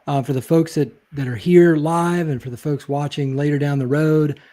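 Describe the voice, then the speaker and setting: Man, interview guest, reflective storyteller, soft warm voice, hedges a lot. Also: fairly high pitch, steady tone, normal speed. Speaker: guest, leadership speaker. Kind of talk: casual interview chat.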